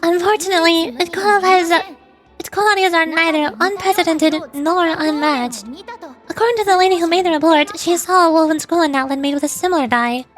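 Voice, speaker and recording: High-pitched playful woman streamer. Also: brisk, a bit bright, faint music behind. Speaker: VTuber streamer reading game dialogue aloud. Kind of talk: playful game read-along with amateur voice acting.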